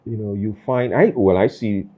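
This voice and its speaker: A man hosting an interview, speaking thoughtfully and haltingly, with many fillers and restarts. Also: lowish voice, husky, lo-fi sound. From Nigeria. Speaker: podcast host. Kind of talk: reflective conversational interview.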